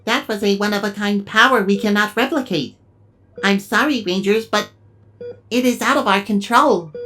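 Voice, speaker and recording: A robot medical assistant, voiced by a woman, mid-pitched and formal. It explains things in a gentle, clinical way, as in an audio drama. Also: moderate pace, clean tone, soft background music, close to an everyday voice. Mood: apologetic, factual.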